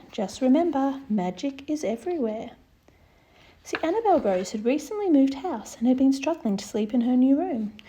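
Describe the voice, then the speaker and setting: Soft-toned, high-voiced woman reading to children. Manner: expressive, addresses young listeners directly. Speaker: children's story-time presenter. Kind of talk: read-aloud picture book narration for children.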